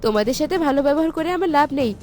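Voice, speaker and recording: A woman voicing a haughty, sharp-tongued young village wife in a cartoon, scolding and bossy. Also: high voice, lively intonation, racing. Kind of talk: cartoon voice acting. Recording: music behind the voice.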